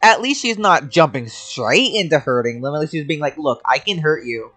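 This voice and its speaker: A woman's voice: low-pitched, lively intonation, moderate pace, fairly bright tone. A woman giving casual, amused reaction commentary over a video game, informal and free with swearing. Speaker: gaming streamer.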